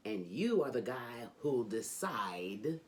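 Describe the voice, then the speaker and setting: A woman hosting a children's read-along, reading a storybook aloud in an animated, sing-song storytelling manner. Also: a bass voice, takes it slowly.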